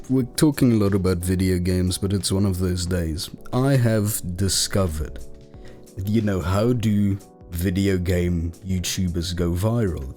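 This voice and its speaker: Playful low-voiced podcast man. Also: a little mellow. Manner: teasing, playful. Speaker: podcast co-host. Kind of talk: casual chat.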